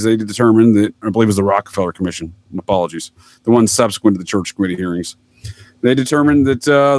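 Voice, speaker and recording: A man's voice: medium pitch, dry recording. Wry male podcast guest, dry sound. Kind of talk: casual anecdotal explaining.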